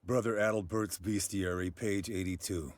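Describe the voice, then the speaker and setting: An actor playing a dry, teasing older warrior in a fantasy game. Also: male voice, low-pitched, steady tone, normal speed, no room sound, natural voice. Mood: wry, amused. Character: wry old warrior friend, male, aging.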